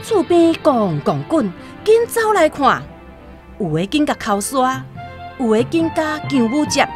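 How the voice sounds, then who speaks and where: A woman with a Taiwanese accent narrating a literary radio drama as an expressive storyteller. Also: high-pitched, measured pace, faint music behind.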